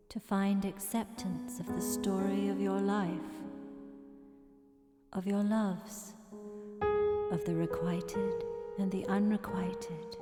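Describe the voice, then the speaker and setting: A woman reading poetic narration in a gentle, solemn, meditative manner, measured and pausing between phrases. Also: mid-range voice. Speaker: poet reading own work. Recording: long echoing reverb with music behind. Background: music.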